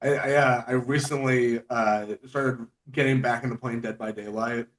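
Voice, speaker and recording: Male podcast interviewer, medium pitch, informal fan-to-fan chat with a halting, keen delivery. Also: steady tone, rough recording. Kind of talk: casual chat.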